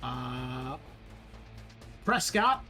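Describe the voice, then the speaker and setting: A man's voice: mid-range voice, very animated, very slow, bright, forceful tone, soft background music. A man running a tabletop horror roleplaying game as game master, talking conversationally and working through rules with fillers.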